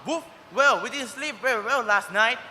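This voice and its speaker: A man's voice: very high-pitched, moderate pace, sharp tone, heavily acted. A man voicing a blunt male dog who woofs around each line, in an echoey hall. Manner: barks a woof before and after each line.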